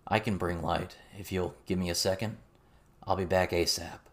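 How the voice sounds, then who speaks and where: A man voicing a calm, weary soldier who speaks in a measured, grave, matter-of-fact way. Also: deep voice, vocal fry.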